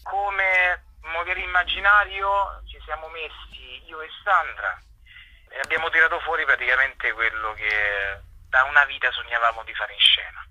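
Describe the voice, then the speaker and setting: Male, theatre guest, husky, piercingly bright, halting and expressive, lo-fi radio phone sound. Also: on the high side. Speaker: guest, theatre actor, co-writer and director. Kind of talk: informal promotional interview.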